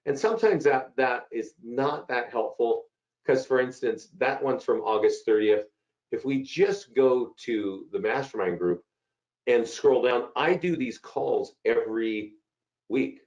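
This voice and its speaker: A man with a hoarse voice from a cold, hosting a live software webinar and chatting through questions from viewers. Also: medium pitch, lively intonation, unhurried. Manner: chatty, repeats himself, answers chat questions. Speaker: webinar host. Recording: dull lo-fi call audio.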